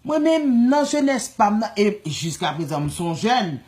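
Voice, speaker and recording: A woman's voice: medium pitch, sing-song, unhurried, a little mellow. A woman from Haiti with a Haitian accent, speaking in an impassioned, repetitive way about her country and mixing in Creole words. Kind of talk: impassioned political talk.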